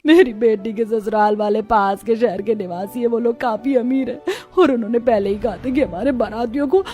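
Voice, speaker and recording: A woman's voice: a little high, fairly even intonation, moderate pace, dark tone, natural voice. Woman doing worried tearful poor village mom, pleading and dramatic, moral story cartoon, music behind. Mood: anxious, tearful. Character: anxious, tearful poor village mother of bride.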